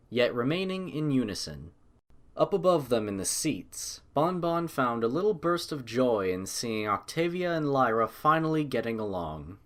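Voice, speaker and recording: Male audiobook narrator, mid-range voice, very lively melodic reading of scripted prose. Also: medium pitch.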